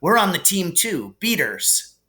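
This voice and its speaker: A man voicing cheerful, boisterous teenage twin brothers in an excited, breezy storybook read-aloud. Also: high voice, unhurried, voice pushed up, full character voice.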